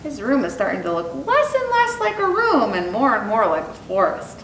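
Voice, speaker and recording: In an echoey room, a woman speaks some way from the mic. Her voice swings in pitch as she teaches and reads to children in simple, expressive language. There is a faint noise behind her. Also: moderate pace, far from the mic. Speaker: zoo educator, storytime host. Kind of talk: educational talk and picture book read aloud for children.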